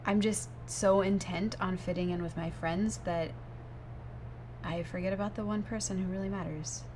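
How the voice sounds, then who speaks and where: A woman's voice: mid-range voice, expressive, normal speed, noisy background, close to an everyday voice. A young woman playing a headstrong, romantic goth fiancée in a comedic short film, insistent and dramatic as she persuades. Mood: contrite.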